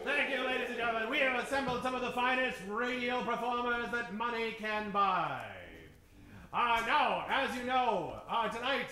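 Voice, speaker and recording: A man's voice: extremely high pitch, normal speed, reverberant, very noisy. A man hosting a live radio-theater show, announcing in a grandiose, theatrical old-time radio style. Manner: grandiose showman delivery. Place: live stage before an audience.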